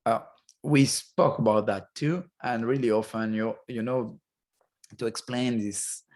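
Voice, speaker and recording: Halting man chatting. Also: medium pitch, darkish tone. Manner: halting, restarts often, searches for words. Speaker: podcast co-host. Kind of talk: casual chat.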